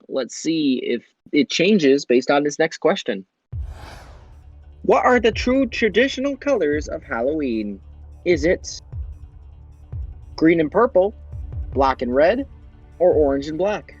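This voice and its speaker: Husky young man hosting a game quiz. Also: on the high side, muffled recording. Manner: upbeat quizmaster, reads questions and answer choices. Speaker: quiz show host. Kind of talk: fan-made game show hosting.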